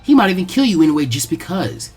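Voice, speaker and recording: Brash young male ninja for a story, voiced by a man: tough, taunting, animated delivery. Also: high voice, fast, slightly rough, faint music behind, full character voice. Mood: threatening.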